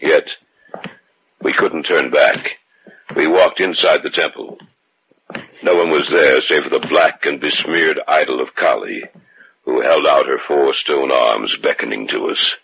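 Very deep-voiced haunted adventurer. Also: male voice, gravelly, fairly bright tone, husky, exaggerated voice. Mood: ominous, haunted. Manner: grave, deliberate, dramatic. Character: haunted, fearful male adventurer recounting a deadly curse. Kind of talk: old-time radio drama acting, suspense narration. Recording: muffled, very noisy old radio recording.

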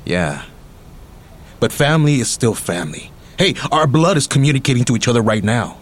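An American man in middle age acting a world-weary, menacing yet tender ex-convict uncle in a radio drama, with a rough, husky voice. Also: mid-range voice, very animated, fairly quick, slight background noise, lightly acted. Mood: earnest, tender.

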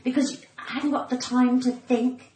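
A woman's voice: sits high, flat delivery, normal speed, darkish tone. A British woman in her sixties with a cut-glass, well-spoken voice, talking frankly and earnestly as an interview guest. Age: 60s. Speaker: podcast guest, stand-up comedian. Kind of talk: candid conversational interview. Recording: roomy echo, clear background noise.

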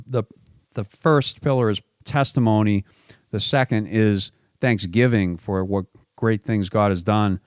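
Low, smooth-voiced male radio host. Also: dry recording, studio quality. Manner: interrupts to clarify, repeats words.

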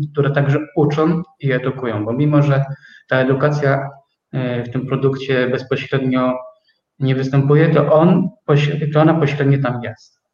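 Distant, echoey man explaining carefully. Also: fairly high pitch. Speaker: interview guest, company co-owner. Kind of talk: explanatory interview talk. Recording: echoey, dull, distant from microphone.

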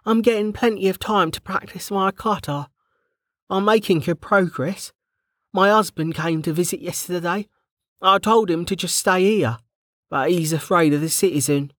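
A woman's voice: on the low side, moderate pace, no echo, studio quality, pitched above the performer's own voice, natural voice. A narrator voicing Bregger, a dutiful and anxious female guard who speaks carefully and earnestly.

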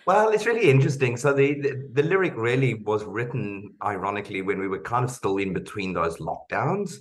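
A man's voice: mid-range voice, animated, fairly quick. A man, a guest in an interview, talking about writing a jingle in an enthusiastic, restarting way. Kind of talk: casual interview chat.

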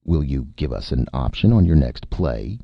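Man as a resigned studio businessman, very deep, husky. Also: expressive, normal speed, dry recording, lo-fi sound, full character voice. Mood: probing. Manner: resigned, matter-of-fact. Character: weary, resigned studio businessman, practical peacemaker.

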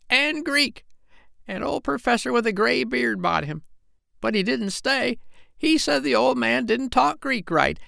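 A man voicing a very old, opinionated female parrot in a children's storybook, haughty and chatty in a squawky falsetto. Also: vocal fry, pushed and bright, no room sound, clean studio sound, full character voice.

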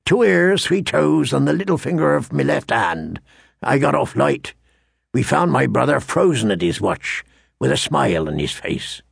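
A man's voice: medium pitch, sing-song, normal speed, creaky, breathy edge, no room sound, clean studio sound, exaggerated voice. A man voicing a sullen, grizzled old man-at-arms, grim and plainspoken, in an audiobook fantasy tale. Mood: bitter.

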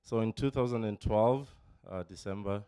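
Low-voiced man giving a halting testimony. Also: mellow, clear, resonant tone, dry recording, clean studio sound. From Kenya. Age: 40s. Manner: halting, many fillers and restarts, emotional. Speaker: church member giving testimony. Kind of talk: personal testimony. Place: church. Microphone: handheld microphone.

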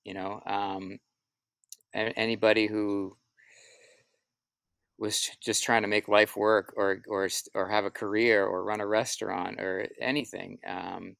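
A man with a low voice and a gravelly fry at the edges talks through his stories as an interview guest. He is reflective and halting, circling back over his words. The sound is very dry and close. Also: little pitch movement, fairly bright tone, no echo. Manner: halting, reflective, self-repeating. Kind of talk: casual interview answers, storytelling.

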